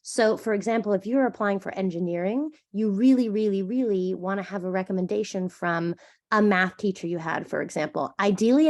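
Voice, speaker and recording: A woman presenting on college admissions in a webinar, a little stuffy from a cold, chatty and animated. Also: medium pitch. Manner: chatty, animated, thinks aloud with fillers.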